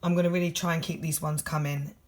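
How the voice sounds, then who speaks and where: A tired tarot reader with a husky voice and a London accent, talking fast and bluntly through a general tarot reading. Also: medium pitch, level tone.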